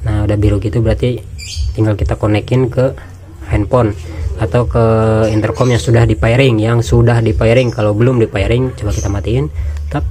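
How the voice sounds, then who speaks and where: A man's voice: lowish voice, fairly even intonation, brisk, warm tone. A man gives a casual, informal product walkthrough, explaining step by step and repeating phrases. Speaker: product reviewer and seller.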